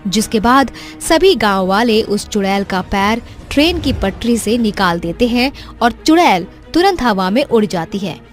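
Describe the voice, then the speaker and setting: A woman's voice: a little high, lively intonation, a little slow, hardly any pauses. A woman narrating a scripted story as a storyteller. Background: faint music.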